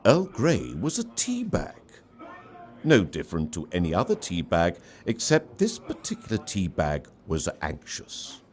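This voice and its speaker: Male storyteller, low register, unhurried pace, theatrical and expressive narration. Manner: theatrical, dramatic delivery. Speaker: story reader.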